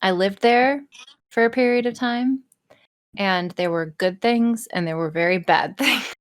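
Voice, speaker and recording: A woman's voice: mid-range voice, measured pace. A woman chatting casually on a podcast, joking around and swearing freely. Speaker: podcast guest, audio performer.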